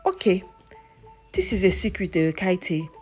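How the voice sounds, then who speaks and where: A sly young villager from a folktale, played by a woman storyteller. He shares secrets in a coy, confiding way with very varied pitch. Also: sing-song, measured pace, a little mellow, breathy edge, faint music behind, exaggerated voice. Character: aggrieved, scheming young village man telling secrets. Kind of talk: folktale character voice.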